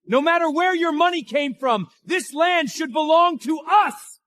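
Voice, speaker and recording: A man acts out an entitled rich hothead in a melodrama. His high voice swings widely as he accuses, drawing his words out in a dry recording. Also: animated, unhurried, no room sound. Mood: outraged. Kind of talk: melodramatic drama acting.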